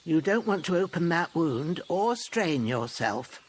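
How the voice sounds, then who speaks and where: A woman's voice: on the low side, very animated, measured pace. An older peasant healer from a fantasy game, wry and motherly. Her folksy, rustic speech rises and falls with a lot of expression, with faint noise behind it. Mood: caring, instructive. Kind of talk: video game dialogue acting.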